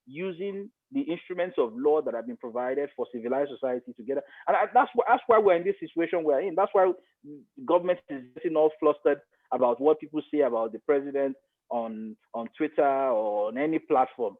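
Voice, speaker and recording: A man's voice: a little high, lively intonation, a little fast. A man with a Nigerian accent giving his opinions in a podcast discussion, emphatic and repeating phrases to make his point. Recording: thin, phone-like line.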